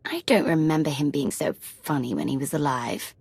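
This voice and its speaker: An actress playing a sardonic, mocking villainess in a video game, with playful menace and sarcasm. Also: female voice, low-pitched, normal speed, gravelly, darkish tone, husky, no room sound, exaggerated voice. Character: sardonic, mocking villainess taunting a ruthless ruler.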